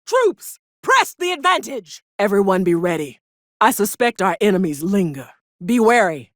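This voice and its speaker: Game casting: haughty, bloodthirsty female frontier officer played by an actress, folksy ornate phrasing, swooping pitch, dry close recording. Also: big pitch swings, moderate pace, dry recording, natural voice. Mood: commanding, alert. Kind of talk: video game character dialogue.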